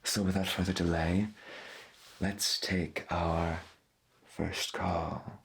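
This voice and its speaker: A man playing a folksy, gentle veteran pirate radio host, speaking softly and slowly with a breathy voice in an ASMR roleplay. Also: sits low, level tone, heavily acted. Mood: calm, intimate. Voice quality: breathy, husky. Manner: slow, deliberate, folksy, half-whispered. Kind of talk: soft-spoken ASMR roleplay as 1990s AM radio.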